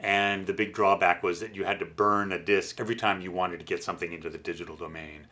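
A middle-aged man giving a casual technical explanation in a measured, thoughtful way. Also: deep voice, fairly even intonation, bright, forceful tone. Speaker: company founder presenting his audio gear. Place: small wood-furnished listening room indoors.

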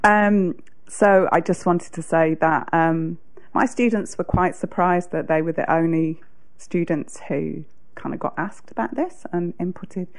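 A New Zealand woman with a big, loud voice and a fairly low pitch. She speaks as a teacher addressing a council meeting, chatty and full of fillers and restarts. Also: measured pace. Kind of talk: public submission at a council meeting. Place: council chamber.

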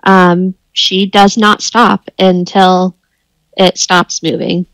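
A woman's voice: fairly low pitch, little pitch movement, slow pace, a bit bright, dry recording, rough recording. A woman talking casually as a player in a tabletop roleplaying game.

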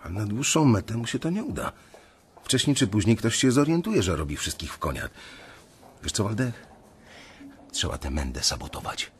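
A man's voice: on the low side, big pitch swings, moderate pace, pauses often, a little hiss or hum. An actor playing a resentful young investment banker who tells his story bitterly in a radio drama. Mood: indignant.

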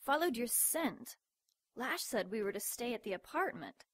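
A woman's voice: a little high, big pitch swings, moderate pace, no room sound, studio quality. A woman playing a stern, dutiful demon in an audio drama, curt and commanding. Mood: stern, urgent. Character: stern, dutiful female demon keeping her sister in line.

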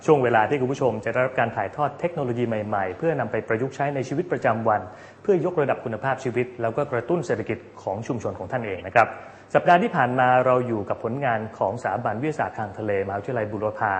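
A man's voice: mid-range voice, expressive, fast. A man hosting a TV programme, presenting scripted material to viewers in a formal, polite manner. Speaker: TV programme host. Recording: long hall-like reverb.

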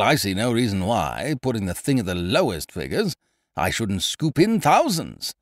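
A man voicing a loud, hearty, scheming young gentleman adventurer who blusters expansively. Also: mid-range voice, dry recording, clean studio sound, pitched above the performer's own voice, close to an everyday voice.

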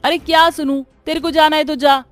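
A woman's voice: sits high, level tone, a little fast, soft background music, voice pushed up, lightly acted. A woman voicing a brusque, money-minded village toilet attendant, curt and dismissive, in a cartoon story. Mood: curt, irritated. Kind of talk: Hindi cartoon horror-comedy voice acting.

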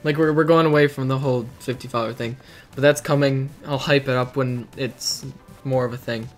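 A teenage boy, hoarse from hours of streaming, chatting casually and rambling while reacting to his chat in a dim bedroom. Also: medium pitch, steady tone, brisk, faint music behind.

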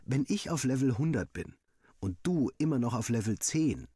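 A cocky schoolboy rival from a storybook, acted out by a male narrator. The voice is lifted in pitch and pushed, with a teasing, boastful manner. It is recorded very dry. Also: big pitch swings, normal speed, warm tone, husky, no echo. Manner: mocking, boastful.

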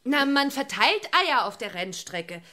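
A woman's voice: high voice, sing-song, a little slow, crowd noise. An actress playing a cheeky, confident young witch girl in a children's audio drama, with a perky manner. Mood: cheeky, keen.

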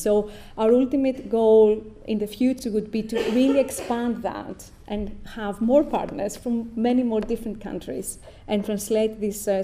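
A woman in her thirties with a Greek accent giving a conference presentation as an academic, explaining in a halting, self-correcting way. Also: mid-range voice, dark tone, a little hiss or hum. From Greece. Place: indoor stone-walled venue, at a lectern. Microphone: lectern microphone.

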